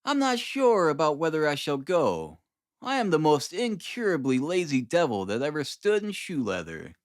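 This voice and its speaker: A man voicing a brisk, dryly superior detective in an audiobook, calm and clipped in manner. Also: a little high, no room sound, studio quality, voice pushed up. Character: brisk, dryly superior male detective, languid then energetic. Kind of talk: dramatised audiobook reading of Victorian detective fiction.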